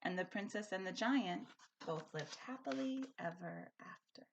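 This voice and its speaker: A woman's voice: on the low side, unhurried, gravelly, lo-fi sound, slight background noise. A woman teacher speaking expressively and emphatically, as in a storybook read-aloud for a school lesson. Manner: animated, emphatic.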